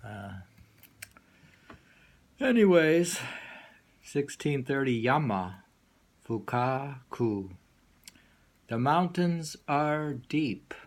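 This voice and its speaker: A man reading poetry aloud and discussing it informally, in a musing, halting way that lingers and repeats lines. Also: mid-range voice, lively intonation, creaky. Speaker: poetry reader and host.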